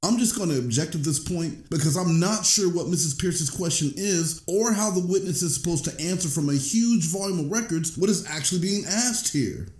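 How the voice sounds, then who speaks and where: A man's voice: on the high side, animated, normal speed, hardly any pauses, a little mellow. A male attorney formally raising an objection in court, heard from a distant microphone. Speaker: opposing attorney. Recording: echoey courtroom recording, distant mic.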